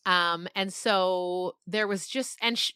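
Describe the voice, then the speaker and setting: A middle-aged woman talking casually in an interview as an author and podcast creator, reflective and animated as she tells her stories. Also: fairly low pitch, slow, clean tone, dry recording, studio quality.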